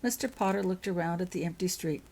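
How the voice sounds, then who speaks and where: A woman narrates a story in a fairly low voice with a husky grain. Her reading is expressive and shaped like an audiobook. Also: fast talker. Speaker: author reading own story. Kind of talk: audiobook-style narration of fan fiction.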